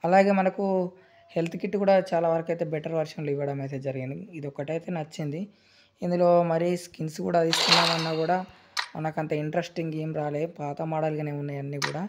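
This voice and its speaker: A man runs through a game tutorial at top speed, full of repetitive fillers. His voice sits at a middle pitch. The recording carries clear background noise. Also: steady tone. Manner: rapid, repetitive filler-heavy explaining. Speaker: gaming channel host.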